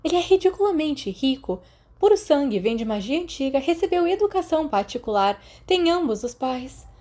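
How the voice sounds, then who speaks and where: Woman playing huffy Brazilian schoolgirl, scornful, emphatic, raised pitch, storybook dialogue. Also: sing-song, normal speed, warm tone. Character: exasperated, scornful red-haired teenage schoolgirl.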